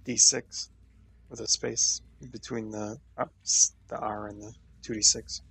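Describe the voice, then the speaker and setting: A man's voice: lowish voice, flat delivery, unhurried, creaky, pushed and bright, husky, poor audio. A man chatting casually as a player in a tabletop game.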